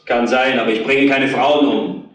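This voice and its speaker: An actor playing a hot-tempered, defensive truck driver under suspicion in a retro crime radio play. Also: male voice, medium pitch, fairly even intonation, normal speed, noisy background, close to an everyday voice. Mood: defiant. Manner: defensive, surly, argumentative. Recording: roomy, echoing studio sound.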